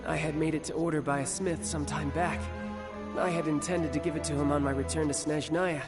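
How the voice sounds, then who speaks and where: An actor playing an injured, cocky young warrior who teases smoothly and speaks fondly of family. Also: male voice, fairly high pitch, steady tone, fairly quick, close to an everyday voice. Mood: courteous. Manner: smooth, playful, teasing. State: weakened, injured. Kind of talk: video game cutscene voice acting. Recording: slightly distant, music behind.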